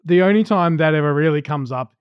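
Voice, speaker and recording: A man's voice: a little high, fast, smooth, dry recording. Male co-host, Australian, anecdotal, lo-fi dull recording. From South Australia. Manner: anecdotal, explains by acting out little quotes. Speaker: podcast co-host. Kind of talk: casual chat. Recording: dull lo-fi, dry sound.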